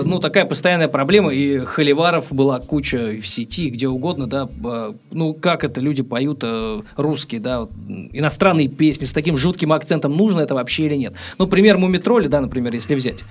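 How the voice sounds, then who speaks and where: A man's voice: medium pitch, fairly quick. A man hosting a casual studio chat, asking guests questions with many fillers and restarts. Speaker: radio host.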